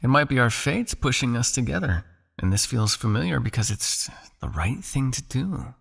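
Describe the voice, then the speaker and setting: A man acts out a possessive male vampire captor in an intimate hypnosis roleplay. His mid-pitched voice has a heavy, crackling fry. He speaks straight to the listener, coaxing and teasing as a captor sure of his hold. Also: expressive, fairly bright tone, heavily acted. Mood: teasing, intrigued. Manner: coaxing, teasing, with pauses, sighs and murmured asides.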